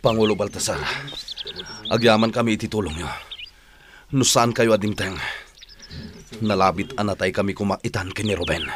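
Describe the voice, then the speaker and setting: Actor as earnest fugitive fighter, low male voice, Ilocano accent, radio drama, faint background noise. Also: normal speed, pauses often, close to an everyday voice. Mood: grateful.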